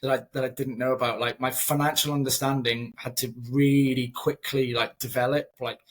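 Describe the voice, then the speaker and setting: A man's voice: mid-range voice, level tone, moderate pace. A man in his thirties from Scotland, a company founder interviewed over a video call, talking earnestly and often repeating words as he thinks. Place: small home room.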